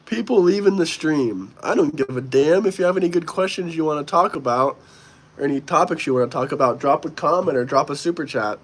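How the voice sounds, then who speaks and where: A man's voice: a little high, fairly quick. A young man hosting a live stream, casually and bluntly answering viewer comments while a little under the weather. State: slightly sick. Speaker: livestream host.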